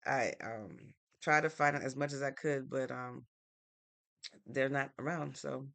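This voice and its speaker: A woman's voice: very deep voice, steady tone, takes it slowly, vocal fry, a bit bright. A woman from Connecticut in the United States hosting a live stream, chatting casually and answering viewer comments in an easygoing, thinking-aloud way. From Norwalk, Connecticut, United States.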